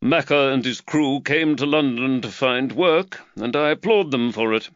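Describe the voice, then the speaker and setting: A man voicing an earnest middle-aged London magistrate who lectures with energy, higher and louder than his own voice. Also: moderate pace, dry recording, highs cut off, close to an everyday voice. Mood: rueful. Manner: lively, preachy, warms to his theme.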